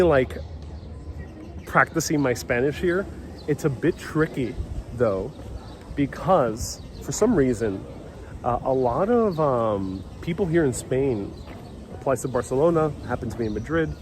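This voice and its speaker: A man's voice: mid-range voice, big pitch swings, moderate pace, a little mellow. A man from New York of Puerto Rican heritage, chatting loosely as a live-stream travel host outdoors, with many restarts. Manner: rambling, conversational, many restarts. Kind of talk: casual live-stream chat. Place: outdoors on a city street. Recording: street noise and traffic behind.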